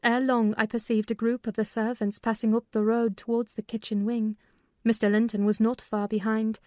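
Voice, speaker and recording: High-voiced, even woman narrator. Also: normal speed, dry recording, studio quality. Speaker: audiobook narrator. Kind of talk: dramatized literary narration.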